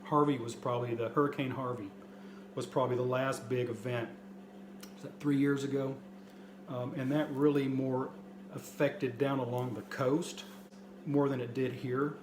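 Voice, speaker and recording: A man's voice: mid-range voice, flat delivery, moderate pace. A man hosting a casual video review, talking to viewers in a plainspoken, folksy way. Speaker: host of a prepper video channel. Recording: roomy echo, light background noise.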